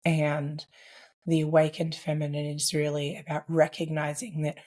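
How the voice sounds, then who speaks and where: Low-pitched hoarse woman. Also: little pitch movement, moderate pace, darkish tone. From Australia. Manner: earnest, reflective, with frequent "like" and "I guess". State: laryngitis, hoarse. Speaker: podcast guest. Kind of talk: conversational podcast interview.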